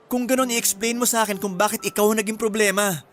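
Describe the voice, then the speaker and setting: An actor playing an insecure, pleading young boyfriend in an earnest, emotional radio drama. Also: male voice, sits high, steady tone, fast talker, soft background music, natural voice. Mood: desperate, pleading.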